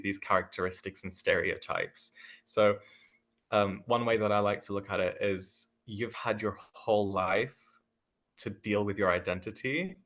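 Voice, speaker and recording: A young man takes part in a panel talk, speaking in a thoughtful, measured way and pausing often on "um." His voice sits somewhat low. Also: a bit bright. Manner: thoughtful, measured, frequent ums. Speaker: panel guest. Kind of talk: casual panel discussion.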